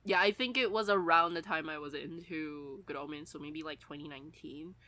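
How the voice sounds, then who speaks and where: A woman's voice: sits low, fairly quick, sharp tone, no echo. A young adult woman co-hosting a podcast in casual fan chat, rambling along in a giggly way. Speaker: podcast co-host.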